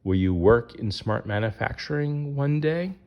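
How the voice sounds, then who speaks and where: A man's voice: mid-range voice, expressive, moderate pace, muffled recording. A man reading a rhyming children's picture book aloud as its narrator.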